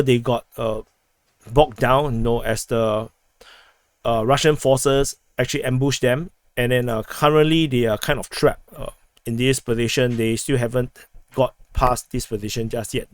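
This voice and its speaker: A man with a hoarse, fading voice, giving a halting military situation briefing full of fillers and restarts. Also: medium pitch, no room sound. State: hoarse, losing his voice. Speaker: war analysis host. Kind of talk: map-based military briefing.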